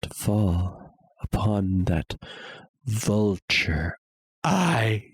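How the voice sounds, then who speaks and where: A man playing an obsessive, unhinged murderer in a dramatic gothic horror reading, halting and theatrical with suspenseful pauses. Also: fairly low pitch, big pitch swings, breathy edge, dry recording, full character voice. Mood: creeping menace. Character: obsessive, unhinged murderer confessing his crime.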